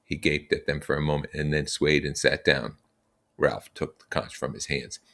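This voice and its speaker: A man reading a story aloud and explaining it with casual, expressive comments. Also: very deep voice, normal speed, vocal fry. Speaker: reader and teacher explaining a novel.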